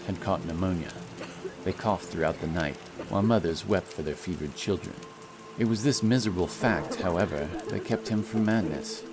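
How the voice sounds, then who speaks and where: A man narrating a fantasy audiobook in a solemn, measured storytelling style. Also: low-pitched, noisy background. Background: music and rain sound effects.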